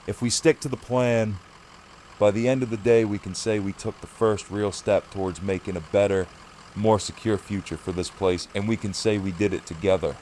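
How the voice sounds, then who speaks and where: An actor playing a determined group leader in an audio drama, giving a solemn, rallying speech. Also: male voice, lowish voice, steady tone, moderate pace, a little mellow, slight background noise, natural voice. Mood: resolute.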